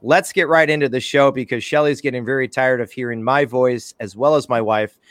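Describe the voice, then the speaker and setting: A man's voice: medium pitch, fairly even intonation, a little fast, fairly bright tone, dry recording. Male podcast host, playful and self-deprecating announcer patter, close and dry. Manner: jokey, self-mocking, chatty announcer patter. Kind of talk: casual podcast chat.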